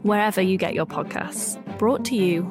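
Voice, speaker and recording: A woman's voice: mid-range voice, moderate pace, over music. Woman with dramatic podcast promo read. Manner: dramatic, spooky-toned. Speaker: podcast co-host.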